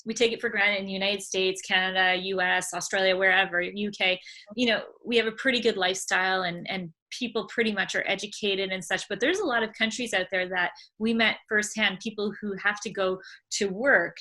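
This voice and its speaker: A woman's voice: medium pitch, fairly even intonation, a little fast, sharp tone. A woman hosting a casual interview over a video call, enthusiastic and rambling. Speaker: travel vlogger host.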